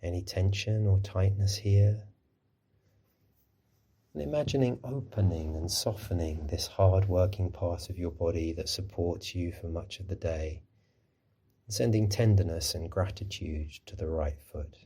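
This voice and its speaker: A man with a low voice and a soft husky edge leads a guided meditation. His tone is dark and relaxed, and he gives each instruction gently and without hurry, as a mindfulness teacher would. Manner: gentle, unhurried, soothing instruction. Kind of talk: guided body scan meditation.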